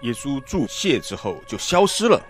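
A man's voice: mid-range voice, big pitch swings, normal speed, faint music behind, close to an everyday voice. An actor playing an earnest, friendly village man and disciple in a radio Bible drama, speaking in a hearty, theatrical way. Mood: earnest, excited.

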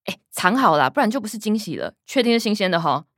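A woman's voice: medium pitch, big pitch swings, quick pace, fairly bright tone, dry recording, clean studio sound. An actress playing a sarcastic, teasing young working woman, the older sister in a radio drama, with a Taiwanese Mandarin accent. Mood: eager, curious. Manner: sardonic, teasing.

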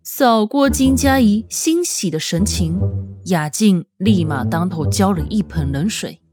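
A woman narrating an audio novel in a dramatic, expressive storytelling style. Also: medium pitch, unhurried. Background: music.

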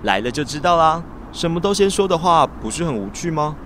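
A man's voice: on the high side, sing-song, a little fast, a bit bright, slight background noise. A man playing a cheerful, persistent teenage schoolboy with a crush in a radio drama, speaking with a Taiwanese accent in a playful, earnest way. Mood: bright, teasing. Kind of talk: Mandarin boys' love radio drama acting.

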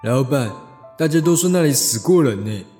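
A man's voice: mid-range voice, expressive, moderate pace, dark tone, lightly acted. Brief: male actor as worried office subordinate, long echo on the voice, music behind, radio drama. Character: anxious male company subordinate reporting bad news to boss. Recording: long reverb, music underneath.

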